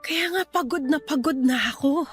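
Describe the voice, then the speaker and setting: An actress in a Tagalog radio drama playing a weary, resentful young wife who confides plaintively, with sighs. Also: female voice, high voice, normal speed, close to an everyday voice. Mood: fed up. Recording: music bed behind the voice.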